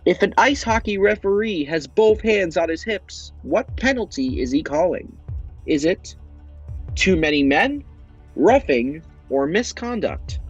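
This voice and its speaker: A man with a fairly high voice hosts a quiz show, reading out questions and answers with slow, suspenseful pauses. Light music plays beneath him. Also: very animated, slightly rough, dull audio. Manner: upbeat, drawn-out question reading with dramatic pauses. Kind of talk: hosting a voiced game show on a video game platform.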